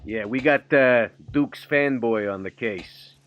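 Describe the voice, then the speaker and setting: A man playing a tabletop roleplaying game, speaking gruffly in character and pausing often on fillers. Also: mid-range voice, muffled recording, faint music behind. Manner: gruff, halting with many fillers. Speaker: player.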